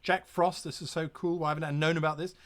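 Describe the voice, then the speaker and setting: A man narrating a gamebook as game master on a live stream, with lively asides and pirate growls. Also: on the high side, fast.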